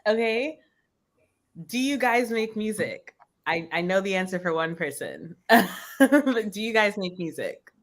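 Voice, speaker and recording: A woman's voice: mid-range voice, sing-song, measured pace. A woman chatting casually and candidly as a podcast guest. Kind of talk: casual chat.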